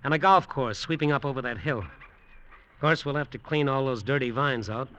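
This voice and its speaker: An actor playing a brash, cocky, short-tempered hotel developer in slangy, emphatic old-time radio drama style. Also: male voice, medium pitch, normal speed, bright, forceful tone, lo-fi sound, lightly acted. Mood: breezy, cocky. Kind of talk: old-time radio drama acting with first-person narration. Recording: vintage radio drama, music behind.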